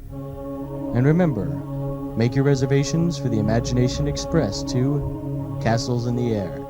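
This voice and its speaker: A man's voice: mid-range voice, unhurried. A man announcing for a radio show in a scripted sign-off style. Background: music.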